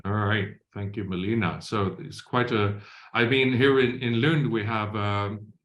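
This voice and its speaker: A man's voice: fairly low pitch, fairly even intonation, a little slow, a bit bright. Hesitant man moderating a video webinar. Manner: hesitant, many fillers. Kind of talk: moderating an online Q&A. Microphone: video call. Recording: roomy echo.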